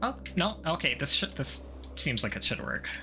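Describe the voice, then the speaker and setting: A man's voice: medium pitch, wildly swooping pitch, normal speed, vocal fry, bright, forceful tone, soft background music. A man giving casual, sweary, self-deprecating commentary while playing a video game.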